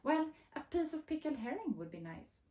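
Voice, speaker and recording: Woman voicing an excitable, high-pitched storybook mole. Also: normal speed, reverberant. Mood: carefree, blurting. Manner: excitable, blurting, interrupting. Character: carefree, self-centred, flustered talking mole. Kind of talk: picture book character voice.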